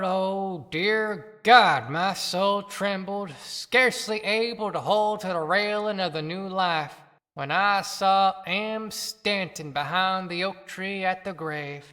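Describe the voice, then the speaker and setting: A man's voice: high voice, slow, sharp tone, heavily acted. A man playing a devoted, unloved dead town doctor in an impassioned, sorrowful audio-play monologue. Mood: tender, anguished. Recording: long cavernous reverb.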